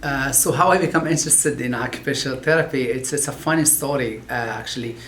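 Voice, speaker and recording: A middle-aged man who is not a native English speaker, explaining occupational therapy in an interview, halting with many fillers. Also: mid-range voice, a bit bright, echoey room, faint music behind. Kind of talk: interview talk about his field.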